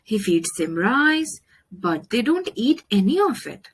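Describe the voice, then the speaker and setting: A woman with an Indian accent reading a children's story aloud, expressively and deliberately, with dramatic pauses. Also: a little high, very animated, slightly rough. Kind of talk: children's picture book read-aloud.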